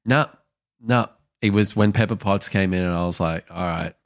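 Low-pitched man, effusive casual fan chat. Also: moderate pace, creaky. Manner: effusive, swearing fan talk. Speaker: film review podcast co-host.

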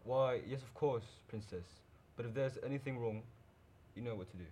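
A man's voice: medium pitch, normal speed, warm tone, a little hiss or hum, close to an everyday voice. Man acting formal, dutiful royal attendant. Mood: deferential. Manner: formal, courteous. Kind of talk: audio drama acting.

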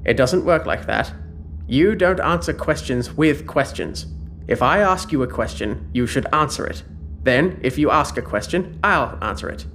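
An actor playing a smug, controlling spymaster in a sci-fi audio drama, mock-polite and condescending. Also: male voice, medium pitch, lively intonation, moderate pace, fairly bright tone. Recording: clear background noise, ambience.

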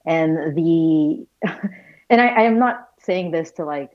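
A woman's voice: low-pitched, expressive, slow pace. A woman in her thirties from Malaysia, a guest talking casually and thoughtfully about her path, with self-deprecating asides. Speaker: guest, visual storyteller. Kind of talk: casual conversational interview. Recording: dull lo-fi sound.

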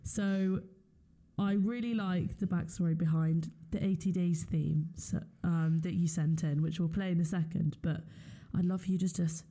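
A woman's voice: on the low side, fairly even intonation, moderate pace, dark tone. A woman hosting a casual radio interview in an encouraging, appreciative manner. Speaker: interviewer, show host.

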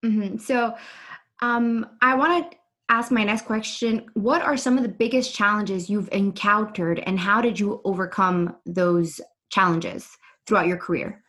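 A woman's voice: medium pitch, unhurried, fairly bright tone. A woman hosting a podcast, reading a guest introduction and then asking questions in a polite, affirming way. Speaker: podcast host. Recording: faint music behind.

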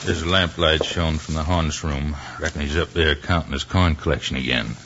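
An actor playing a scheming, gloating outlaw boss with a Western American accent in an old radio drama, sly and menacing. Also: male voice, very deep voice, fairly even intonation, fairly quick. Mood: smug, sly. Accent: American West. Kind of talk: 1950s radio western acting. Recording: noisy muffled old radio recording with clicks.

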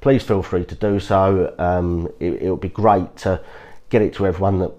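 A man with a somewhat low voice, hoarse and croaky from a cold. He hosts a podcast in a loose, unscripted way, restarting sentences and urging his listeners along with an earnest "trust me". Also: steady tone, moderate pace, mellow. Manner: earnest, repeats "guys, trust me", frequent restarts. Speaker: podcast host. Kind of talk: casual motivational talk.

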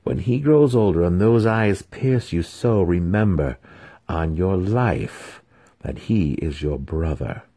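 A man voicing an imperious dark elf matriarch for an audiobook, with a commanding, deliberate and menacing delivery. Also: low voice, lively intonation, slightly rough, full character voice. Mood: stern command.

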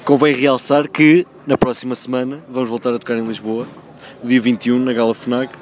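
A man's voice: medium pitch, flat delivery, moderate pace. A man, a band member announcing plainly during an interview. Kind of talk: casual interview chat.

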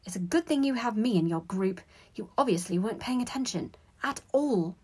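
A woman's voice: medium pitch, expressive, fairly quick, a little mellow, husky. A woman voicing a bossy, exasperated teenage schoolgirl in a story, snappy and emphatic like a know-it-all. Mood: lecturing.

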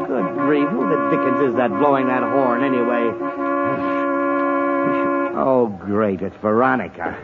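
A man's voice: mid-range voice, measured pace, over loud music, natural voice. An actor playing an exasperated, hot-tempered middle-aged father in a blustery, sarcastic 1940s radio comedy style. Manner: blustery, sighing, sarcastic muttering. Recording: muffled, noisy 1940s radio recording.

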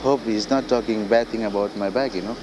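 A Nepali-speaking man with a Nepali accent, a singer-songwriter talking casually as a guest, halting and full of fillers like "you know" and "like". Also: fairly low pitch, fairly even intonation, background noise. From Darjeeling hills, India. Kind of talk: casual interview. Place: indoors.

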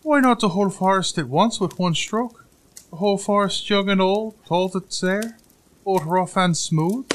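Man playing a high-pitched, boastful little tailor. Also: moderate pace, close to an everyday voice. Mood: boastful, cheeky. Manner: boastful, theatrical, high-flown. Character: small boastful male tailor, cheeky, whiny show-off.

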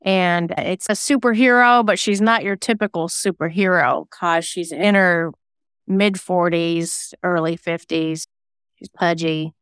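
A woman's voice: lowish voice, steady tone, unhurried, a bit bright, clear, resonant tone, dry recording, clean studio sound. A woman talking casually as an interview guest, chatty and self-effacing. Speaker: interview guest, singer-songwriter and novelist.

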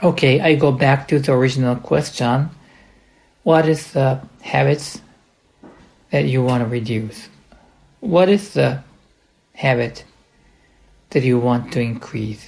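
A man with a Japanese accent delivers an instructional podcast monologue as a coach. His pace is slow and his voice sits at a medium pitch. He places his words deliberately, in short phrases with halts between them. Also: vocal fry, a little mellow, low-quality recording. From Japan, partly raised in the United States.

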